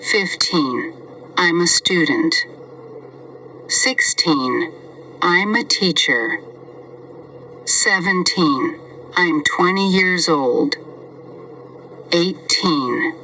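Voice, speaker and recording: Slow, bright-voiced man teaching English. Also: fairly high pitch, recorded from a distance, dull audio, a little hiss or hum. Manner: reads each numbered sentence twice, deliberately. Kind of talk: slow scripted language lesson with repetition.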